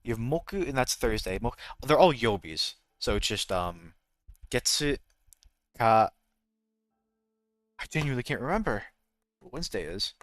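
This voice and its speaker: A man's voice: mid-range voice, very animated, normal speed, pauses often, dry recording, studio quality. A man with an American accent chatting casually as a game streamer, rambling and jokey, often correcting himself mid-thought. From United States. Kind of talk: casual livestream chat.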